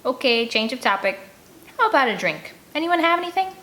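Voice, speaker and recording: A woman's voice: high voice, sing-song, a little fast, sharp tone, pitched above the performer's own voice, heavily acted. Woman playing a playful, easygoing boy scout. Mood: joking, upbeat. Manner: playful, casual.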